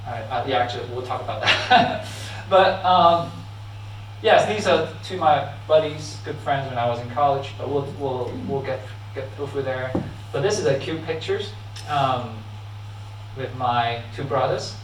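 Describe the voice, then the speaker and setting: A man from Hong Kong with a Hong Kong accent, giving a friendly, halting talk with slides, full of fillers and restarts, with frequent laughs. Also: medium pitch, slight background noise. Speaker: guest speaker. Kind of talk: informal lecture with slides. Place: church hall. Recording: echoey hall, mic at a distance.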